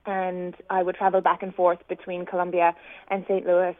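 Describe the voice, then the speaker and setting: A woman's voice: lowish voice, monotone, moderate pace, no room sound. Irish woman guest calling in, thoughtful and explanatory, phone-line sound, dry. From Ireland, County Clare. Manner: reflective, long explanatory answers. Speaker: guest musician. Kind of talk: radio interview answers.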